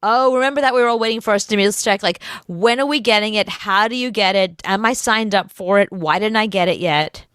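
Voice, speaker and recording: A woman co-hosting a radio show in casual comedic chat, chatty and animated in her storytelling. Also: mid-range voice, brisk, hardly any pauses, clear, resonant tone, dry recording, clean studio sound.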